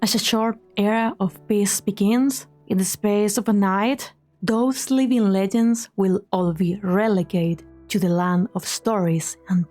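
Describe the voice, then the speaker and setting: A woman narrates a dramatic story at a slow, measured pace. Her mid-range voice is solemn, with soft music playing behind it. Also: mid-range voice.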